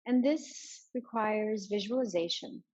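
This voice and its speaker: A woman leading a guided meditation as a yoga teacher, giving soft instructions with many pauses. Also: medium pitch, muffled recording.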